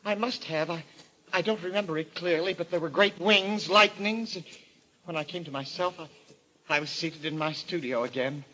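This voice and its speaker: An actor playing a mad murderer who believes a destroyer god possesses him, fervent and theatrical, in old radio mystery style. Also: male voice, a little high, pushed and bright, rough recording. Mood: fearful. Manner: fervent, theatrical, halting when afraid.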